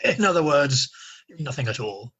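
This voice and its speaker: Husky, swooping, pompous doctor acted by a man. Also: fairly high pitch, expressive, normal speed, fairly bright tone, lo-fi sound. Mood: fussy. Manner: pompous, theatrical. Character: fussy, self-important mad-doctor, echoing his colleague. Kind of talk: amateur comic radio play acting. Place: home, recorded over a video call.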